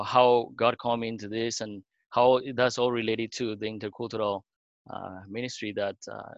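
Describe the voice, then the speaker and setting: A middle-aged man from Argentina, a pastor, sharing his story in a halting way with many fillers and restarts. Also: fairly low pitch, fairly bright tone, no echo, highs cut off. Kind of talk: panel talk.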